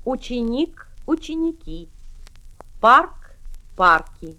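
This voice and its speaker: A woman's voice: a little high, big pitch swings, slow pace, pushed and bright, rough recording. A woman reading pronunciation drills with exaggerated, overarticulated words. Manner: overarticulated, sing-song.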